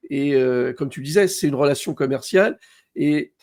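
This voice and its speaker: A man hosting a casual live stream interview, speaking in a hesitant, halting way. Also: mid-range voice, darkish tone. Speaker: host of a live show.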